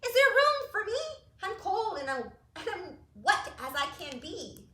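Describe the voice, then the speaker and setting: A woman plays a small storybook pig with a squeaky, oinking voice set well above her own. It sounds cold and plaintive, read in an echoey room. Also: big pitch swings, a little slow, pushed and bright, full character voice. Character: squealing little pig, cold and wet, then brave.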